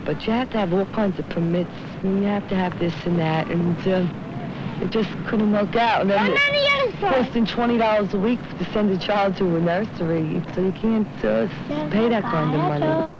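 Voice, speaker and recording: A woman's voice: medium pitch, sing-song, normal speed. A young woman speaking plainly in a candid documentary interview as a mother, repeating her points for emphasis. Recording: dull, very noisy old newsreel film sound.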